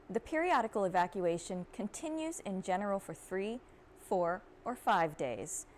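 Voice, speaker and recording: A woman reading an old treatise aloud in a formal, deliberate and measured way. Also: mid-range voice, a little mellow, slight background noise. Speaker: university archivist, reader.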